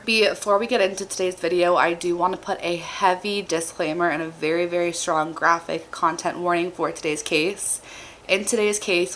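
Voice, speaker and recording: A young woman with a sore throat, sounding slightly raspy, narrating a true crime case to camera in a chatty, earnest way. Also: lowish voice, a bit bright, slight background noise, low-quality recording. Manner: chatty and earnest, apologetic asides.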